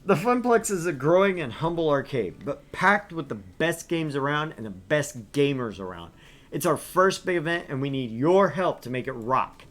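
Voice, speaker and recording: A man hosting a let's play, reading a visual novel aloud with lively, animated delivery and joking asides. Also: fairly high pitch, moderate pace.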